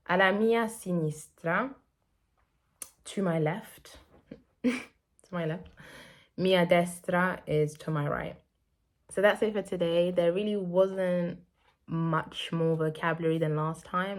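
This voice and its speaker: A woman whose first language is French, chatting casually as she works through translations on her language-learning vlog and thinks aloud. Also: lowish voice.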